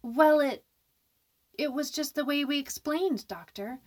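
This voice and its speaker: An actress plays a rattled young working woman in a radio horror play. Her voice is high and full of nerves. She is stubborn and sharp-tongued, snapping when pressed. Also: a little slow. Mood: defensive, rattled. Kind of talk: radio horror drama acting.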